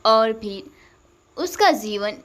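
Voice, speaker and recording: Casting: woman narrator for cartoon tales, high-pitched voice, scripted storytelling delivery. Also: lively intonation, slow, fairly bright tone.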